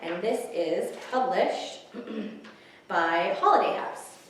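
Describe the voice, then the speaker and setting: A woman's voice: medium pitch, lively intonation, extremely slow, a little hiss or hum. A woman hosting a story time for young children, speaking in simple, encouraging phrases as she introduces and reads picture books. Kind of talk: children's story time, reading and singing along. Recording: very echoey room, far from mic.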